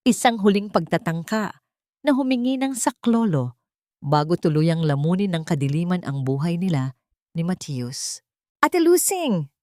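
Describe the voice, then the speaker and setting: A woman's voice: deep voice, darkish tone, dry recording, clean studio sound. A woman with a Filipino accent reading a dramatic scripted audiobook story as its narrator.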